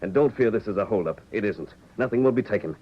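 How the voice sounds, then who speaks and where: A man's voice: fairly low pitch, lively intonation, slight background noise, close to an everyday voice. Man acting commanding western hero, muffled old radio. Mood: firm, commanding. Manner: terse, commanding, measured. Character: commanding masked western hero, calm and fearless. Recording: muffled vintage 1940s radio recording.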